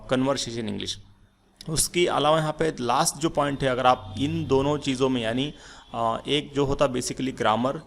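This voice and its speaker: A man's voice: mid-range voice, vocal fry, a little hiss or hum. A man teaching English in a rambling, filler-heavy way, walking through slides. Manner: rambling with many fillers and restarts. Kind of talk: slide-based lesson.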